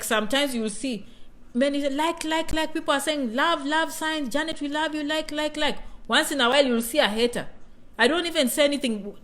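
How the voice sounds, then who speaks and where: A woman's voice: a little high, fairly bright tone. A woman with a Kenyan accent, chatting casually on a livestream as a vlogger, reading comments and often asking "you know?". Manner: chatty, repeats herself, keeps asking "you know?" and "okay?".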